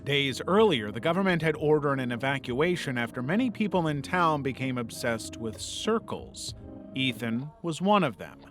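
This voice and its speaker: A man's voice: mid-range voice, expressive, normal speed, a bit bright. A man reading a scripted narration in the role of a video essay narrator. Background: background music.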